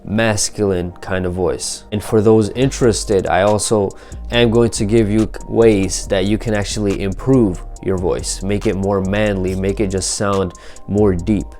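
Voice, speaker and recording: Low, mellow-voiced young man lecturing earnestly. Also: fairly even intonation, measured pace, soft background music. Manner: earnest, lecturing, personal testimony. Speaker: video creator. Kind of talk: self-help vlog monologue.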